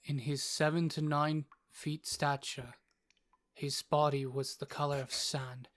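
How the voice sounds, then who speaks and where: Fantasy narrator, androgynous mid-range voice, slow storybook delivery, faint noise behind. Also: mid-range voice, flat delivery.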